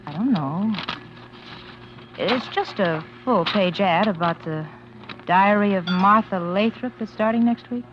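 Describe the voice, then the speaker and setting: A woman's voice: mid-range voice, unhurried, lightly acted. Woman acting persistent loving girlfriend, American, coaxing, earnest, teasing, 1940s radio drama, dull old recording, slight noise. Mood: puzzled. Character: devoted, persistent, teasing girlfriend of a piano player.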